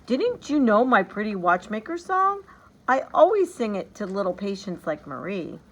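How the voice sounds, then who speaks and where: Brief: rasping, monotonous old godfather chanting rhymes, read by a woman, pitch all over the place. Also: normal speed, poor audio. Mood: jovial. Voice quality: rasping, monotonous sing-song. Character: gruff eccentric old godfather, a clockmaker.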